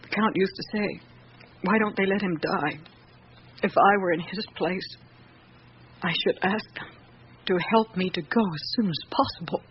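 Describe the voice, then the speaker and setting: A woman's voice: mid-range voice, unhurried, pauses often, close to an everyday voice. Woman playing a hesitant elderly female servant. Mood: sorrowful. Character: loyal elderly female servant of a count, troubled. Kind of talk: radio drama acting. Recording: muffled, noisy old radio recording.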